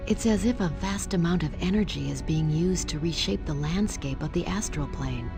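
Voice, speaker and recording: A woman's voice: lowish voice, normal speed. An actress playing a poised woman telepath in a video game, genteel, formal and politely condescending. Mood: poised, grave. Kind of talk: video game cutscene acting. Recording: music clearly behind the voice.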